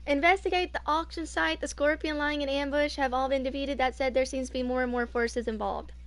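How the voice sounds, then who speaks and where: A woman's voice: high-pitched, fairly even intonation, a little fast, a bit bright. A woman in her twenties doing a casual game playthrough, reading text aloud and talking to herself unhurriedly. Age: 20s. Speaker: gaming video creator.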